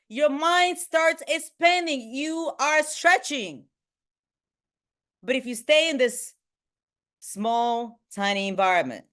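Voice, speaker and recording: A woman from Bulgaria, giving an energetic motivational talk to a group on a video call. She ends nearly every point with "right?" and her voice swings between high and low. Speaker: motivational speaker and team trainer.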